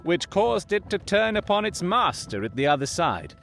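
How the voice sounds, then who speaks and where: An actor playing a brilliant Victorian detective in an audio drama, coolly analytical, with precise, theatrical, formal delivery. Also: male voice, sits high, very animated, moderate pace, gravelly, fairly bright tone, slight background noise, full character voice. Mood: grave, deliberate.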